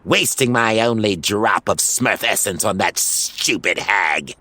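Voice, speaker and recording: A man's voice: lowish voice, animated, takes it slowly, pushed and bright, full character voice. A man playing a scheming, irritable evil wizard in a theatrical storybook reading. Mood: self-reproach.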